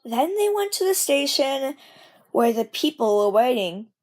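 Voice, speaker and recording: A woman reads a children's storybook aloud. Her voice is high and very animated in pitch, and she takes her time, telling it expressively in a measured way. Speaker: storybook reader. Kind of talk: storybook narration read aloud.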